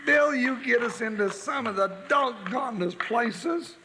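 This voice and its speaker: An actor playing a grizzled, excitable frontier sidekick with a squeaky voice and folksy drawl, in 1950s radio drama acting on an old noisy radio recording. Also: male voice, unhurried. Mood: wary.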